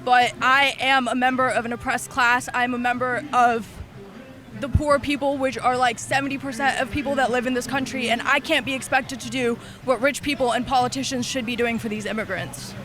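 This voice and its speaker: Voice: mid-range voice, steady tone, a little fast. A young adult arguing back defiantly in a heated street interview, swearing now and then, outdoors on a city street.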